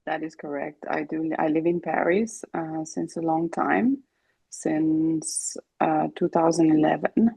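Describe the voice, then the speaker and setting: Swedish-born woman guest, low husky voice, relaxed and chatty, laughs easily. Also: a little slow, dry recording, low-quality recording. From Sweden. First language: Greek and Finnish. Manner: relaxed, laughs often, many fillers and restarts. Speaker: guest singer-songwriter. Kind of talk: casual interview chat.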